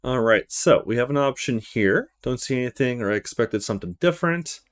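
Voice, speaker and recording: A man's voice: medium pitch, lively intonation, brisk. A man hosting a let's play, reading visual novel narration and adding his own commentary.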